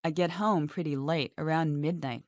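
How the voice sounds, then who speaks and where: A woman's voice: low-pitched, fairly even intonation, normal speed, dry recording, clean studio sound, natural voice. A woman voicing a matter-of-fact TV announcer chatting in a scripted English lesson dialogue. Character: matter-of-fact female TV announcer in everyday chat.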